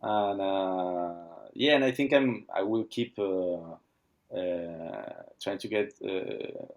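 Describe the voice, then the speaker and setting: Slow, halting man. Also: low voice, animated, creaky. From Argentina. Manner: halting, searching for words in English. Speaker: watch brand founder. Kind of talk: casual interview.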